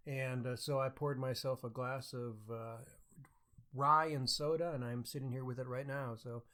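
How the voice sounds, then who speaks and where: A man's voice: medium pitch, fairly even intonation, moderate pace, sharp tone. A man co-hosting a podcast, talking casually about books. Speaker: podcast co-host. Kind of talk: casual book discussion.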